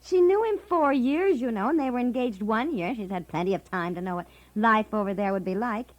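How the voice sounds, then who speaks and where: An actress playing a chatty, romantic American wife in 1950s radio comedy, conversational, teasing and emphatic. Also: female voice, on the high side, fairly quick, lightly acted. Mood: dreamy, insistent.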